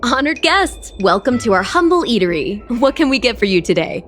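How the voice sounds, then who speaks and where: A woman's voice: a little high, very animated, fairly quick, fairly bright tone, slight background noise. A woman voicing a gracious, hearty restaurant chef in a video game, effusive and fond of telling stories. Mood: welcoming. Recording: studio voice with clear music behind. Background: game music.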